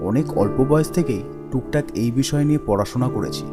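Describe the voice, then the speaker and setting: A man voicing a kindly, elderly retired village schoolmaster in a cartoon, speaking in a measured, gentle way. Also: mid-range voice, natural voice. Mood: reflective. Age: older. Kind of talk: cartoon character acting. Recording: music behind the voice.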